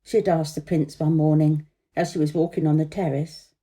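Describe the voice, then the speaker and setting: A woman's voice: deep voice, steady tone, moderate pace, warm tone. A woman narrating a children's story expressively as a storyteller.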